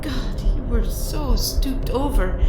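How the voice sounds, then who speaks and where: A woman acting a grieving grown daughter in an audio play, halting, emotional and blunt. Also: fairly high pitch, animated. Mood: anguished confession. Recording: roomy, distant mic, loud music underneath.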